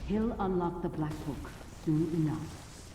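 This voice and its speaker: An actress playing a cold, ambitious villainess sorceress in a video game, imperious and threatening. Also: female voice, deep voice, expressive, measured pace. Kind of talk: scripted video game acting. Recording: echoey hall reverb, faint noise.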